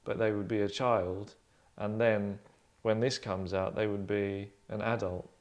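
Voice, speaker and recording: Rambling, deadpan man in his thirties. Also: fairly low pitch, measured pace, highs cut off. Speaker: writer and host. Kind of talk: casual group discussion. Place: furnished indoor lounge.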